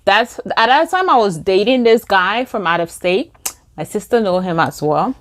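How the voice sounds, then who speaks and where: A young woman with a Liberian English accent telling a story while doing her makeup, acting out quoted lines in a higher voice. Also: animated, moderate pace, fairly bright tone. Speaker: beauty vlogger.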